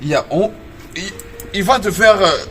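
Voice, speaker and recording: A man's voice: high-pitched, normal speed, fairly bright tone. A man giving an emphatic testimony, his voice jumping up on key figures. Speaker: interviewee. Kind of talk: promotional testimony. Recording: music under the voice.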